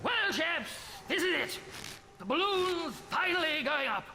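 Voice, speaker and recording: An actor playing a pompous, expedition captain in a live radio comedy. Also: male voice, very high-pitched, expressive, measured pace, creaky, over music, heavily acted. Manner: pompous, clipped, posh. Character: pompous upper-class male expedition captain. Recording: echoey live hall broadcast.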